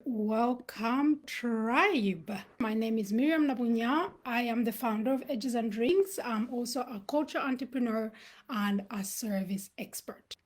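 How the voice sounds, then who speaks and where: A woman from Uganda, raised in Sweden, hosting a livestream talk. She speaks in an earnest, motivational way, pausing with fillers. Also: fairly high pitch, soft background music. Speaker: host and founder.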